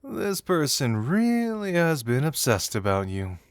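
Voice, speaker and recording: A man's voice: on the high side, huge pitch swings, measured pace, smooth, dry recording, studio quality. A man acting a playful, teasing young male detective in an audio roleplay, musing theatrically to the listener. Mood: teasing, intrigued. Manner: teasing, theatrical, musing hums and soft chuckles.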